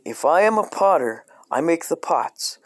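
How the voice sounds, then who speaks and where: A man reading a comedic parody children's book aloud in a deadpan, scripted storyteller style. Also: a little high, moderate pace.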